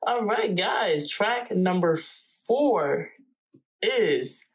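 A woman's voice: medium pitch, very slow, husky. Chatty young woman from New Jersey. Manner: animated, chatty, hums and sings along. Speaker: reaction video host. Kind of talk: casual reaction chat. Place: small bedroom, indoors.

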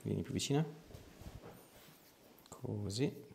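Male chiropractor, thirties; deepish voice with fry, calmly and casually guiding a patient. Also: slow, a little hiss or hum. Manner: casual, reassuring, short directions. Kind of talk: calm hands-on instructions and questions to a patient. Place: small clinic treatment room.

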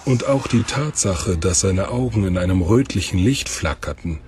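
A man's voice: deep voice, expressive, moderate pace, darkish tone, slight background noise. A man narrating a fantasy audio drama as its scripted storyteller. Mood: measured. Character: male storyteller of a fantasy tale.

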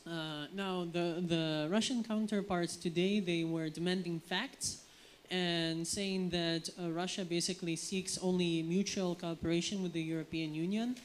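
A man from Ukraine speaks into a handheld mic from a theatre audience, pausing often and filling gaps with ums. Faint room ambience sits behind his voice. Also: high voice, fairly even intonation, slight background noise. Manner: hesitant, many fillers. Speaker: audience member.

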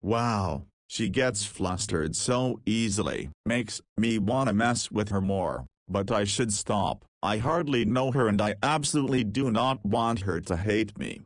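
A man's voice: lowish voice, animated, measured pace, few pauses, no room sound, clean studio sound. A man voicing a cheeky, easygoing nineteen-year-old in a visual novel, with casual, slangy speech. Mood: teasing. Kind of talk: visual novel character dialogue.

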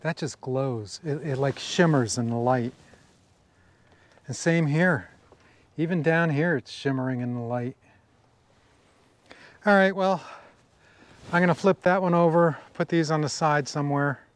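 A man's voice: on the high side, measured pace, long pauses. A middle-aged man running a sawmill outdoors, giving casual running commentary to a camera on the mill as he works, musing and admiring the wood. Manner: musing, talks to himself, admiring asides. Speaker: sawmill operator. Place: outdoors at a sawmill in a wooded yard. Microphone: action camera on the mill.

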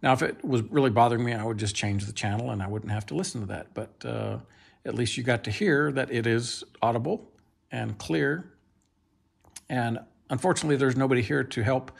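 A man giving a casual, unscripted product review in a home kitchen, with a creaky voice and a halting, plain-spoken manner. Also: mid-range voice.

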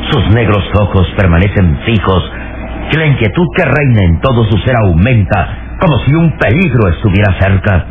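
A man's voice: lowish voice, big pitch swings, normal speed. Male narrator, dramatic scripted delivery, suspenseful, phone-like old radio recording, strong noise. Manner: solemn, suspenseful.

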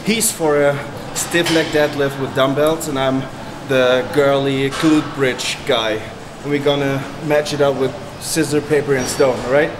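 A man's voice: mid-range voice, flat delivery, measured pace, hardly any pauses, background noise. A man, joking, cursing and coaching a training partner in a large indoor gym. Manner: cheerful, cursing, bantering coach. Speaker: bodybuilder vlog host. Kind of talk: casual gym chat and coaching. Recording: echoey gym room.

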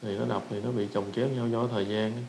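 A man with a Southern Vietnamese accent giving a casual software tutorial, often repeating short phrases as he demonstrates. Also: on the low side, flat delivery, quick pace, vocal fry. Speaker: tutorial instructor. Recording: roomy echo with background noise.

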